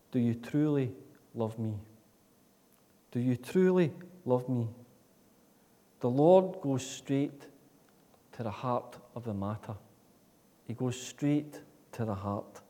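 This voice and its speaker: A man preaching a sermon in a church, earnest, with repeated rhetorical questions that swing between loud and soft. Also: medium pitch. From Scotland. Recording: long reverb of a church hall.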